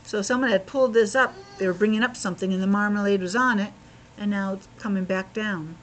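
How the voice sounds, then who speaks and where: A woman reading a children's story aloud in an expressive way, pausing to explain things simply as a teacher would. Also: mid-range voice, poor audio. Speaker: schoolteacher reading a picture book aloud to children.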